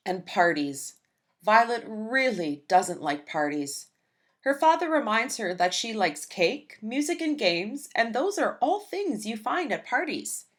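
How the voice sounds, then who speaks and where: A woman reading a children's picture book aloud in a gentle, expressive storytelling manner. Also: on the high side, unhurried.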